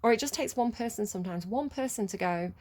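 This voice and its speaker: A woman's voice: on the high side, fast talker, a little mellow. A British woman giving a casual tarot reading, chatty and rambling, laughing often. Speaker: tarot reader.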